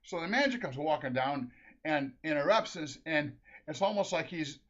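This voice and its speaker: A man's voice: a little high, animated, normal speed. Rambling, laughing middle-aged man. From Minnesota. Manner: rambling, laughs through his story, restarts often. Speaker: storyteller. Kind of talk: casual anecdote. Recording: dull lo-fi recording.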